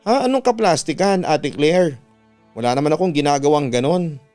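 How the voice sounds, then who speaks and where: A gentle young Filipino sister, played by a male narrator, plaintive and earnest in a dramatic radio story. The voice is lifted in pitch and smooth, over a music bed. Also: lively intonation, moderate pace, lightly acted. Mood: hurt, tearful.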